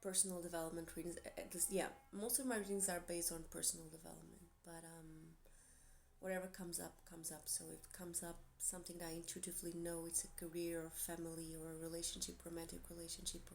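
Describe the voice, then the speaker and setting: Woman, Portuguese accent, tarot reader, chatty and meandering, home video with steady background hiss. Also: fairly low pitch, quick pace, vocal fry. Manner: chatty, meandering, light laughs. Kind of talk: casual tarot reading to camera.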